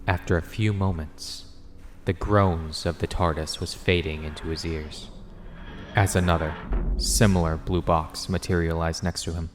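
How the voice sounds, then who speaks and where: A man's voice: low voice, steady tone, measured pace, soft background music. A man reading scripted story narration as the narrator of an audio drama.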